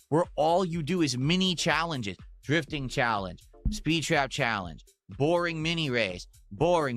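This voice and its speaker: A man with a bright, forceful, slightly high voice rants as a game streamer. He sounds aggrieved and complaining, with music playing behind him. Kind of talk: ranting livestream talk to viewers.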